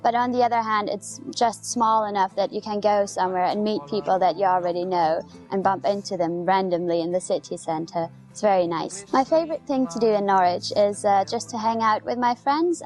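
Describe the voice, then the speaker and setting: A woman in her twenties from Germany, a student, speaking in a relaxed, measured way in an interview. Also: medium pitch, soft background music. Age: 20s. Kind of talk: casual interview.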